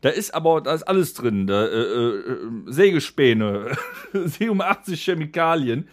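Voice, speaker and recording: A man co-hosting a casual podcast among friends, given to animated, mock-exasperated rants. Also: a little high, moderate pace, dry recording, studio quality. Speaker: podcast co-host.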